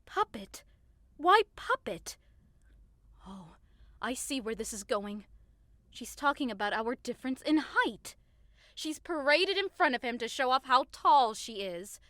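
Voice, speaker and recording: A woman's voice: fairly high pitch, very animated, measured pace, long pauses, bright, forceful tone, no room sound, full character voice. A woman playing a short, hot-tempered young woman hurt in love, melodramatic and indignant, in a modernized Shakespeare audio drama. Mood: furious, accusing. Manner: melodramatic, indignant, outbursts swinging to wounded pleading.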